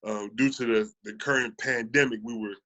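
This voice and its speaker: This is an American man in his thirties with an average-pitched voice. He speaks as a guest in a casual interview, telling personal stories. His delivery is earnest, and he repeats phrases to make them land. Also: steady tone, moderate pace, sharp tone. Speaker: podcast guest. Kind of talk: casual conversational storytelling.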